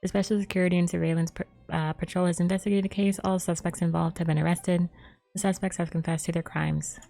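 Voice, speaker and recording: A woman's voice: on the low side, flat delivery, fast talker, vocal fry, dark tone, soft background music. A woman game streamer casually reading game text aloud, stumbling over names as she goes.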